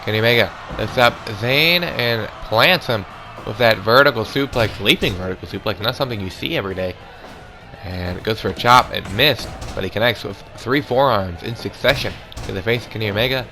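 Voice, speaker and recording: Hoarse, gravelly man calling wrestling. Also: lowish voice, fairly quick, over music. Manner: excitable, calls moves and counts. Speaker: play-by-play commentator. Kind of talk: solo play-by-play wrestling commentary.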